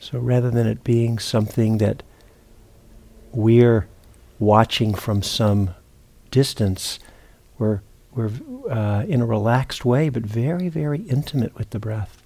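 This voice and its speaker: A man teaching meditation, giving guided instruction in a halting, unhurried way with long pauses and repeated words. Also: mid-range voice, warm tone. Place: meditation retreat center.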